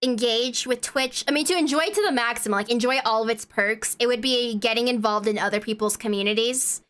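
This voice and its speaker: Brief: woman streamer host, slightly high voice, rambling and giggly. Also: brisk, a bit bright, clean tone. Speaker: VTuber host. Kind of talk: casual stream chat.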